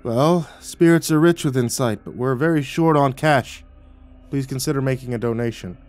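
A solemn male ancestor spirit as acted out by a male storyteller speaks with quiet gravity and a dry, teasing streak. He is an audiobook figure, wise and unhurried in temper. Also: mid-range voice, soft background music, natural voice. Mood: grave.

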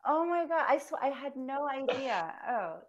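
A woman's voice: sits high, very animated, normal speed, highs cut off. A woman from the United States in her thirties tutors English over a video call, curious and patient, laughing easily. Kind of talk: online tutoring chat. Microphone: earphone mic on a video call.

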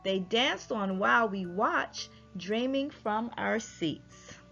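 A woman narrates a rhyming picture book for children at an unhurried pace. Her delivery is expressive, with animated rises and falls. The recording is slightly muffled, with music softly behind her. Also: fairly high pitch, creaky. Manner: expressive, deliberate storytelling delivery. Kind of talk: read-aloud rhyming children's story narration. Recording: dull lo-fi audio with music behind.

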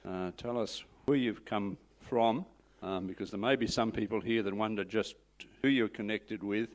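Hesitant low-voiced man welcoming a guest. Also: steady tone, normal speed. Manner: hesitant, full of uhs. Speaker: church host. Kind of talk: welcome announcement.

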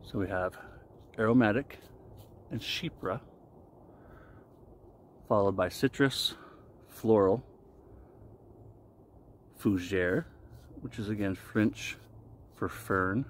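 A man in his forties giving a casual explanatory talk to camera as a vlogger, with deliberate, halting phrasing, recorded outdoors. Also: on the low side. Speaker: vlogger reviewing shaving products. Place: outdoors in a wooded park clearing. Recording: noisy outdoor ambience. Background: outdoor ambient noise.

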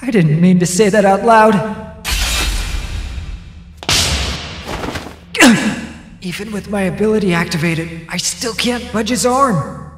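A man's voice: high voice, normal speed, reverberant, in a large hall, noisy background, natural voice. A man voicing a wary, anxious teenage schoolboy investigator in a comic dub. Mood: uneasy, defiant. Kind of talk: dramatic comic dub acting with inner monologue.

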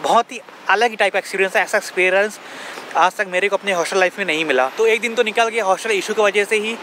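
A man's voice: sits high, fast, bright, forceful tone, low-quality recording. A young man from India vlogging to a camera held at arm's length on an outdoor lawn, explaining points earnestly and asking rhetorical questions. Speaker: travel vlogger. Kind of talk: casual travel vlog talk to camera. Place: outdoors on a grassy campus lawn. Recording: outdoor noise behind voice.

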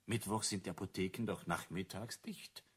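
An actor playing an older, curious and sceptical card-playing gentleman in a crime radio play. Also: low-pitched, normal speed, slightly rough.

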